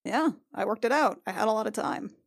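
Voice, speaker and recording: A woman playing a frank, teasing young healer in improvised tabletop roleplay, speaking casually and haltingly and swearing freely. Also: a little high, lively intonation, vocal fry, no echo, studio quality. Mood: worried, subdued.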